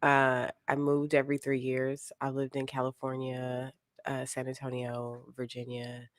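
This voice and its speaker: A woman's voice: a bass voice, completely flat delivery, measured pace, no room sound, clean studio sound. A woman guest on a podcast, easygoing, giving short answers and laughing often. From military family, moved often, raised partly in Japan. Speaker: guest, model. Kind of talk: casual interview answers.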